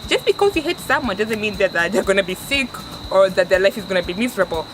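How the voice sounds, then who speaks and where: A woman's voice: medium pitch, fast. A woman in her twenties from Tanzania, with a Tanzanian accent, giving earnest life advice in a casual vlog and often restarting her sentences. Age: 20s. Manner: earnest, restarts often, stresses words for emphasis. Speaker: vlogger. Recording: steady background noise.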